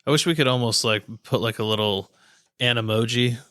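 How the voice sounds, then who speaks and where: A man's voice: medium pitch, a little fast, fairly bright tone, clear, resonant tone, no room sound, studio quality. A man co-hosting a podcast with casual, playful banter. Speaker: podcast co-host.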